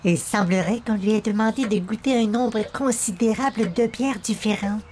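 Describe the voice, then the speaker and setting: A woman's voice: mid-range voice, normal speed, darkish tone, slight background noise, natural voice. A woman playing a prim, pious, easily scandalized visitor in a radio comedy, speaking in a formal, melodramatic manner. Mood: pitying, disapproving. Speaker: actor in an audio comedy.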